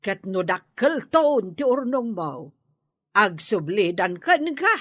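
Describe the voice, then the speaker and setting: A woman's voice: medium pitch, big pitch swings, takes it slowly, a bit bright, husky, no room sound, clean studio sound, exaggerated voice. A woman with a Filipino Ilocano accent playing a scolding older mother, emphatic, in a radio comedy drama. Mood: firm, admonishing. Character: scolding older mother of a wayward married man.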